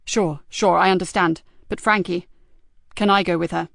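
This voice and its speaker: Woman acting a calm, kindly male police sergeant. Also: on the low side, moderate pace, vocal fry, no echo, clean studio sound. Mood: reassuring. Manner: steady, earnest, reassuring.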